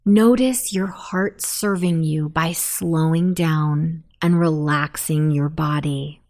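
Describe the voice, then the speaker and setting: Female sleep meditation narrator, slightly low pitch, very unhurried pacing, soothing and deliberate delivery. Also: steady tone, a little mellow. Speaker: meditation guide.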